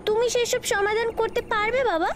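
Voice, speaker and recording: A woman's voice: very high voice, steady tone, normal speed, noisy background. A woman playing a curious, eager twelve-year-old girl in an audio story, earnest and inquisitive.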